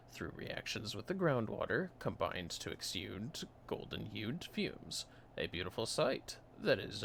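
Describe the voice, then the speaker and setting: A man's voice: lowish voice, gravelly, slight background noise, full character voice. A man voicing a grim, world-weary quest-giver in a fantasy game, with a theatrical, ominous way of telling things. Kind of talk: reading fantasy game dialogue aloud. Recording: loud game music behind voice.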